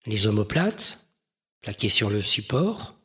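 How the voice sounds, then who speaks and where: A man leading a guided mindfulness meditation in a gentle, measured manner with pauses between phrases. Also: on the low side, big pitch swings, husky.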